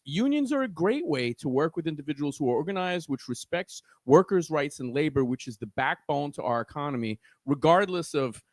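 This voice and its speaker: A man's voice: on the high side, no echo. A man with a New York accent speaking as a guest organizer in an impassioned podcast discussion, earnest and preachy, often restarting his words.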